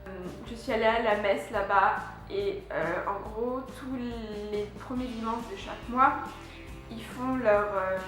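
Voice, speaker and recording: A woman's voice: on the high side, steady tone, measured pace, a bit bright. A young woman from France, chatting casually to her viewers about a year abroad, with lots of fillers and restarts. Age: young adult. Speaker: vlogger. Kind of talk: casual vlog recap. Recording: very echoey room with faint music.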